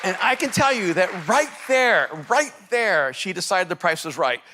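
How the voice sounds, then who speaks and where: A man from El Salvador, a native Spanish speaker, preaching as a pastor and telling a story in an animated, laughing manner. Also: sits high, normal speed, some chatter behind, slight background noise. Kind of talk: storytelling sermon.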